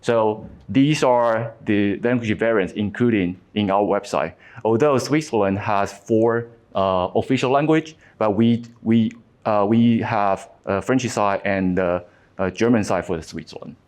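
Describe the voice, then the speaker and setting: A man's voice: fairly low pitch, a little mellow. A man in his thirties from Taiwan with a Taiwanese accent, whose first language is Mandarin, giving a conference presentation with many fillers and restarts. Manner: halting, frequent fillers and restarts. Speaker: conference speaker.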